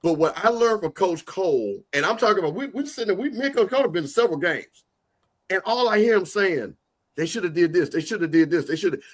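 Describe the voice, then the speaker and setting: A loud, emphatic man hosting a sports talk show, his voice sitting fairly high. He talks fast in bursts, restarting his sentences as he builds to a point. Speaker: show host.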